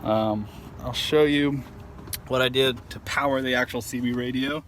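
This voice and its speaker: A man's voice: mid-range voice, steady tone, fairly bright tone. Casual man explaining over background noise. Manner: informal, halting, thinking aloud. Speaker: amateur truck owner showing a DIY install. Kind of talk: casual how-to demonstration. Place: outdoors at a truck. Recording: handheld outdoor recording with clear background noise.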